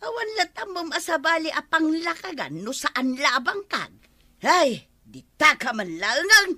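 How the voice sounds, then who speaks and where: Grumbling, exclamatory village wife, Ilocano accent, bright high voice, for radio comedy drama. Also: female voice, sing-song, a little slow, no echo, heavily acted. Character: grumbling village wife tired of farm work.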